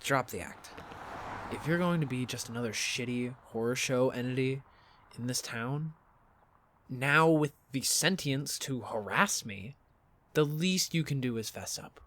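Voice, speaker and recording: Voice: medium pitch, a little slow, fairly bright tone, lightly acted. An actor playing a sarcastic, fed-up young student in an audio drama, blunt and sweary. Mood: guarded, fed up.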